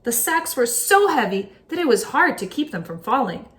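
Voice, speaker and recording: A woman reads a children's picture book aloud as a teacher would. Her voice sits on the higher side and swings widely in pitch, with a dramatic, expressive delivery. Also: moderate pace. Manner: animated, dramatic storytelling. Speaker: teacher reading a story aloud.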